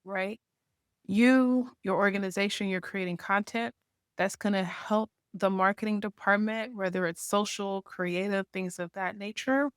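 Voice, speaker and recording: A woman's voice: mid-range voice, steady tone, measured pace, smooth, no echo, studio quality. A woman guest on a podcast interview, explaining her points step by step and checking in with "right?". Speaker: podcast guest, marketing association founder. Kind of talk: conversational interview.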